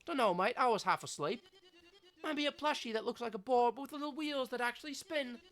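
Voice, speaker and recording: A woman's voice: on the high side, a little fast, a bit bright, lightly acted. A woman voicing a cheeky, upbeat teen zombie with a slangy, easygoing way of talking. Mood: chatty.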